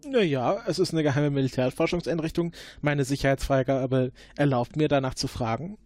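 Halting man, close dry recording. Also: medium pitch, a little mellow, no room sound. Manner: halting, repeats words while thinking. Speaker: player questioning suspects in an investigation game. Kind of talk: improvised role-play questioning and deduction.

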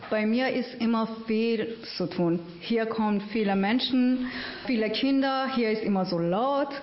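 A woman's voice: fairly high pitch, unhurried. Woman from Iran, earnest simple phrasing, roomy echo, dull lo-fi sound. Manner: simple, earnest non-native German with grammar slips. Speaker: integration agency worker. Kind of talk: interview answers.

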